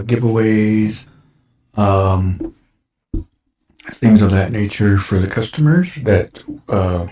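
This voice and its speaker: A man in his forties, hosting a casual chat show, his voice a little raspy from dust, rambling along with lots of fillers. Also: fairly low pitch, dark tone. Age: 40s. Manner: rambling, with many fillers and restarts. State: slightly raspy from breathing dust. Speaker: show host. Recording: roomy echo.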